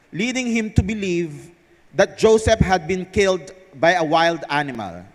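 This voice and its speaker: A man with a Filipino accent speaking as the presenter of a live stage event. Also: high-pitched. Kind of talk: scripted narration read aloud to a live audience. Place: large indoor theater hall. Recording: echoey hall over PA sound system.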